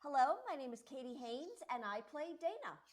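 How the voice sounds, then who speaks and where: A woman's voice: high-pitched, big pitch swings, fairly quick. A woman who works as a voice actor, chatting casually about a performance. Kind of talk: casual cast chat.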